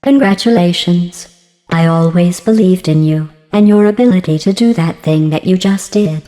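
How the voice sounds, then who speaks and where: A woman voicing a passive-aggressive female artificial intelligence in a video game, deadpan and mock-sweet, with synthetic robotic processing. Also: on the low side, normal speed, in a large hall, faint music behind. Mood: dry sarcasm. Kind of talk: scripted video game dialogue.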